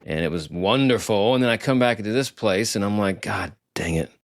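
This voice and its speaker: A low-pitched man hosts a casual podcast interview, rambling and enthusiastic. The recording is dry and close, with no room sound. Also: moderate pace, no echo. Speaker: podcast host.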